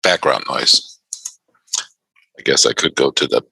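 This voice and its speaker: A man from Chicago hosting a trading live stream, chatting casually with viewers and laughing often in a quiet room. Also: extremely low pitch, measured pace, vocal fry, husky, no room sound. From Chicago North Side. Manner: laid-back, laughs often, reads and answers chat.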